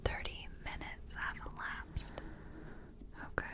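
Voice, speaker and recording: A woman speaking in a breathy, mostly whispered voice, gently murmuring and hesitant, as a makeup artist in a personal-attention ASMR roleplay. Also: takes it slowly.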